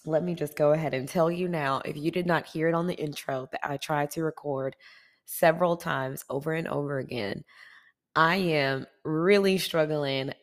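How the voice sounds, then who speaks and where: Congested low-voiced woman podcaster. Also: moderate pace. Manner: chatty, warm address to listeners. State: stuffy and congested from allergies. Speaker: podcast host. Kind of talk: faith-based self-improvement podcast.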